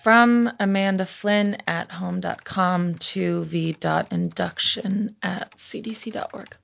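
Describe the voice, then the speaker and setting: A woman's voice: lowish voice, steady tone, takes it slowly, gravelly, faint music behind, heavily acted. An actress playing a defiant, determined survivor reading out her emails in a firm, blunt way for an audio drama. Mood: insistent, frustrated.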